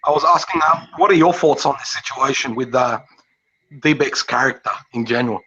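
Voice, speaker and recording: Brief: male host, bright voice, short prompts, dull lo-fi audio. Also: mid-range voice, moderate pace, breathy edge. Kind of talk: casual chat.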